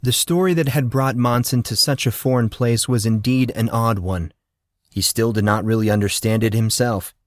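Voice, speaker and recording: Man, audiobook narrator, mid-pitched, measured and steady, very dry close sound. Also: no echo.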